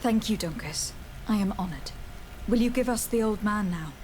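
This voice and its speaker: A composed young noblewoman envoy from a fantasy game, played by an actress, speaks with formal courtesy. She is earnest and diplomatic, choosing her words in a measured way. Her mid-pitched voice sits over faint background noise. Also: animated, a little mellow, lightly acted, low-quality recording. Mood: courteous, composed. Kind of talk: video game voice acting.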